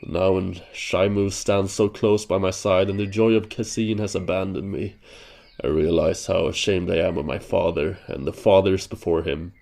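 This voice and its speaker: A man's voice: sits low, fairly even intonation, moderate pace, vocal fry, darkish tone. A man acting a wounded, regretful bird-like warrior in a fantasy audio drama, his voice strained with pain. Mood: weary regret. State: weakened, in pain. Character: wounded proud male bird-like skyborn warrior, gruff and regretful.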